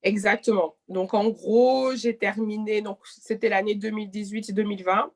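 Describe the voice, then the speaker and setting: Young woman, mid-pitched voice. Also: fairly even intonation, moderate pace. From Belgium. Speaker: interview guest, clothing e-shop founder. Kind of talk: conversational interview answers.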